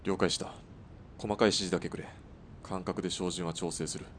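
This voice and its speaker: A man playing a gruff, resolute sniper in a radio drama, speaking tersely and grimly. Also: fairly even intonation, fairly quick, lightly acted. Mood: focused. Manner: terse, grim. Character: resolute, gruff male sniper in Taisho-era Japan. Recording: faint rumbling background noise.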